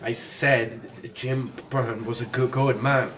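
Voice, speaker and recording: A man's voice: mid-range voice, fairly even intonation, takes it slowly, fairly bright tone. Timid, stammering young working man. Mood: quietly hesitant. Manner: hesitant, stammering. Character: timid, frightened young male worker. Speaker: actor in a radio play. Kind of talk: radio drama acting.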